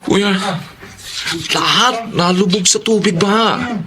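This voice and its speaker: Radio drama younger sibling: extremely high voice, dismayed, emotional, busy crowd background. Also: male voice, slow. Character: dismayed younger sibling in a flood-hit family. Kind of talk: Tagalog radio drama acting.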